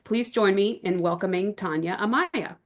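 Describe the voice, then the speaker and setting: A woman's voice: medium pitch, normal speed. A woman hosting an online event, reading out a guest's introduction over a video call. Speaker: event host.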